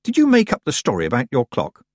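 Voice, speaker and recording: Young teenage girl, acted by a male narrator: raised pitch, firm and earnest, lively storybook reading. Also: normal speed, no room sound, studio quality. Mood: stern. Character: earnest, stern thirteen-year-old girl.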